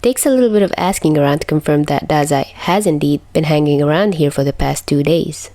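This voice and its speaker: Low-pitched woman narrating a story. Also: a little fast, dark tone, soft background music. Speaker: podfic narrator. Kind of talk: expressive scripted story narration.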